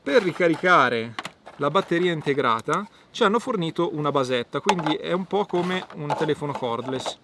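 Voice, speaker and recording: A man giving an informal product review, explaining step by step with hesitant pauses. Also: a little high, animated, background noise.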